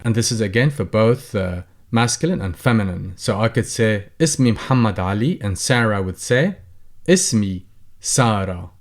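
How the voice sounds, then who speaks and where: Low-voiced man teaching Arabic. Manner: reads each phrase in the dialect, then translates and explains it. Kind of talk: slow explanatory tutorial.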